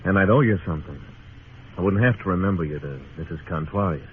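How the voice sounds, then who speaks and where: An actor playing a tough, laconic frontier marshal in a radio western, speaking and narrating in a terse, deadpan way. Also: male voice, deep voice, fast talker, slight background noise, natural voice. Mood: dry, wry. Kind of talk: old-time radio western acting and narration. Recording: tinny old radio recording with music.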